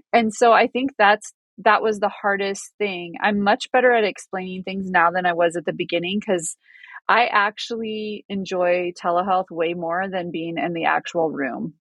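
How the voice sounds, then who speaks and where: A woman's voice: medium pitch, no echo. Chatty woman rambling in a dry room. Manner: self-deprecating, rambling with restarts. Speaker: podcast co-host. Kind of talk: casual chat.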